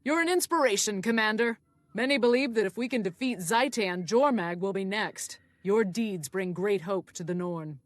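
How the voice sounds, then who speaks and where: A woman's voice: medium pitch, normal speed, no echo, lightly acted. An actress playing a brave, devout shaman warrior in a video game, earnest and stout-hearted. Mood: admiring. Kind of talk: dramatic video game cutscene acting. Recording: voice over loud game music.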